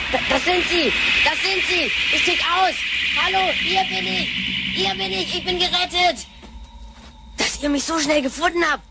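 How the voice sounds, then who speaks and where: An actress playing a brash, spoiled, jumpy young granddaughter from the future in a sci-fi radio play, slangy and excitable. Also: female voice, high-pitched, heavy background noise. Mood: frantic, excited. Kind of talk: sci-fi radio drama acting.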